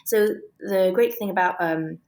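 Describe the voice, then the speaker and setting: A woman's voice: mid-range voice, unhurried, darkish tone. A woman with a British accent explaining technical detail conversationally and with enthusiasm, as an interview guest. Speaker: guest, scientist and author.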